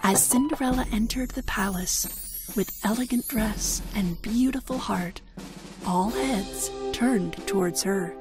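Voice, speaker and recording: A woman's voice: medium pitch, measured pace, music in the background. A woman with an American accent narrating a scripted fairy tale for children. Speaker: storyteller.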